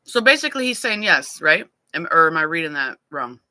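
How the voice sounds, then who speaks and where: A woman's voice: low voice, very animated, brisk, pushed and bright, no echo. A woman in her thirties hosting a live talk panel and asking candid, probing questions. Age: 30s. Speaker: host.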